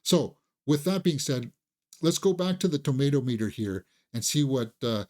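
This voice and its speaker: A man's voice: medium pitch, expressive, normal speed, a little mellow. A man hosting a casual, conversational film review, enthusiastic and opinionated, sometimes repeating words as he thinks aloud.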